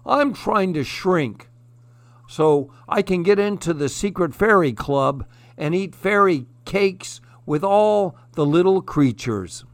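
Gentle sad moose for a storybook, acted by a man: raised, plaintive, earnest voice with lively swoops. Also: high voice, takes it slowly, dry recording, exaggerated voice. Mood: miserable, sighing. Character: gentle miserable male moose longing to belong. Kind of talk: children's storybook character voice.

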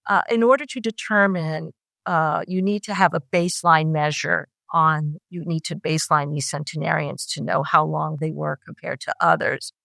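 Middle-aged woman scientist, halting delivery, lower voice, dry recording. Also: fairly even intonation, vocal fry, a bit bright, no room sound. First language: Italian. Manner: hesitant, many fillers and restarts. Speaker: scientist guest. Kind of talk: explanatory interview answers.

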